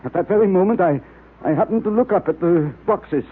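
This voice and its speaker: A man's voice: high voice, lively intonation, brisk, husky. An actor playing a nervous, evasive man with a secret, hesitant and defensive, in old-time radio drama style. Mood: evasive, uneasy. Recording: tinny, hissy old-time radio recording.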